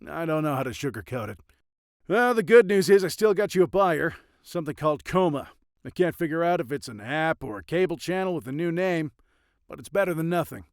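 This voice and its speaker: A man's voice: a little high, animated, fairly quick, no room sound, studio quality. A man playing a blunt, world-weary movie agent in a comic dub, with a matter-of-fact way of talking. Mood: blunt, consoling. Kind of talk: animated comic dub acting.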